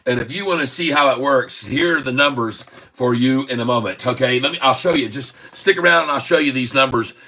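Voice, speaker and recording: A man's voice: medium pitch, fairly quick, hardly any pauses, bright, forceful tone, low-quality recording. A man in his sixties hosting a casual live stock chat, jokey and upbeat, chuckling often between remarks. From Haiti. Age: 60s. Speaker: stock livestream host.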